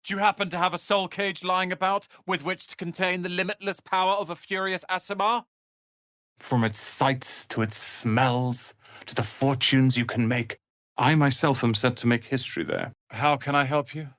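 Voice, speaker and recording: A man voicing a proud, sharp-tongued young wizard's apprentice and protective brother in a fantasy video game, articulate and theatrical. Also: a little high, normal speed, no echo, studio quality, lightly acted. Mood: angry, indignant.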